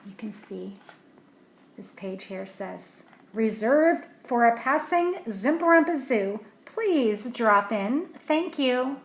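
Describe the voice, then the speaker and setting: A woman with a slightly high voice reads a picture book aloud to children at a slow, patient pace. She keeps breaking off into gentle, hushed asides about the pictures. The recording is roomy and echoey. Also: animated. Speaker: storybook reader. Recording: roomy echo, faint background hiss.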